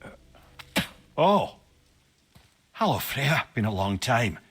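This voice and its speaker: An actor playing the revived severed head of a wry, courtly man, speaking haltingly with a husky voice in a cinematic game. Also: mid-range voice, sing-song, fairly bright tone, heavily acted. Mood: weary, wry. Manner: slow, halting, wryly deferential.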